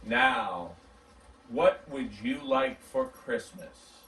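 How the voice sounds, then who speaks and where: Man putting on a slow, booming jolly Santa. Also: wildly swooping pitch, gravelly, pushed and bright, exaggerated voice. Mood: jolly, commanding. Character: jolly, commanding old Santa Claus. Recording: roomy, distant mic, background noise.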